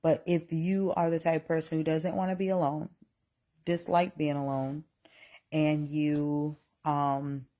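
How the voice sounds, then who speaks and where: A woman in her forties with a low voice hosts a live talk. She speaks slowly, circling back and repeating herself, and now and then reads out viewer comments. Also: dark tone. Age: 40s. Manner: reads chat aloud, exclaims in mock outrage; slow, repetitive, restarts often. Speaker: live stream host. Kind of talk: casual live talk on relationships and faith.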